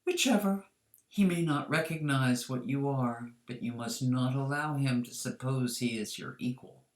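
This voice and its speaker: Young priestess, secretly divine, from a short story, acted by the narrator, formal, quietly insistent, roomy sound. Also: mid-range voice, moderate pace, natural voice. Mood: poised, insistent. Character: devout, cunning young priestess, secretly a goddess.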